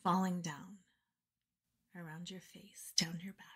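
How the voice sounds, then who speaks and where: A woman leading a guided meditation in a soft, breathy, soothing voice with measured phrasing and pauses. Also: on the low side, steady tone. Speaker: meditation guide and podcast host.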